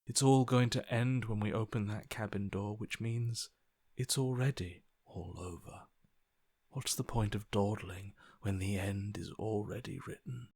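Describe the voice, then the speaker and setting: A man acting a broken, submissive inner voice in a video game, slow, hushed and breathy, resigned and reverent. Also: on the low side, fairly even intonation, no room sound, clean studio sound, exaggerated voice. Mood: reverent, hopeless. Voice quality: hushed, breathy, half-whispered. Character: broken, submissive male inner voice, devoted and defeated.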